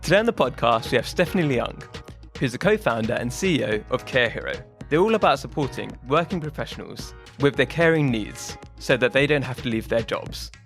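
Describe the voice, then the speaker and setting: Male podcast host, quick upbeat delivery, faint music underneath. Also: medium pitch, a bit bright. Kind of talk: podcast intro and interview questions.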